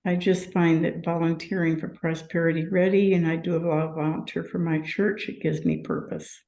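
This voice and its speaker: A woman's voice: sits low, flat delivery, normal speed, warm tone. An older retired woman introducing herself as a volunteer on a video call, plain and earnest. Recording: echoey room on a call.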